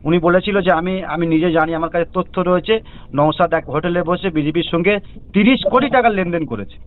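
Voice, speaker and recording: A man's voice: high voice, a little fast. A male reporter with a West Bengal Bengali accent putting deferential, persistent questions in a phone interview. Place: recorded phone call. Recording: tinny narrowband phone call.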